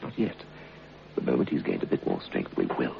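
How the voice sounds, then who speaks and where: A sinister husband in a vintage radio horror play. He is very low-voiced and animated, dripping false concern. The old recording sounds muffled, with faint noise. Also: a little fast, vocal fry, heavily acted. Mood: hushed, pleading. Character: scheming planter husband, falsely solicitous, coldly sinister.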